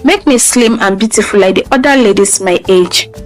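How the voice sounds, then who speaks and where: A woman's voice: medium pitch, normal speed, soft background music, lightly acted. A woman voicing a rejected young village woman in a folktale, speaking in an emotional, imploring way. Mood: pleading. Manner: emotional, pleading.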